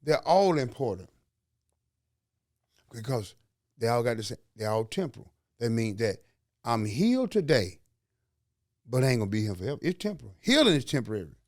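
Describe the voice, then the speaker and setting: A man's voice: medium pitch, big pitch swings, moderate pace, long pauses, darkish tone, no room sound, studio quality. A man from Alabama with a Southern accent, hosting a podcast in a folksy, preachy way, full of slang and rhetorical questions. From Alabama, USA. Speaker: podcast host. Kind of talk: motivational podcast talk.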